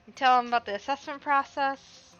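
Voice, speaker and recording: A woman's voice: sits high, fairly even intonation, fairly quick, a bit bright, highs cut off. A woman giving casual live commentary as a game streamer, chatty and informal, musing aloud. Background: game music.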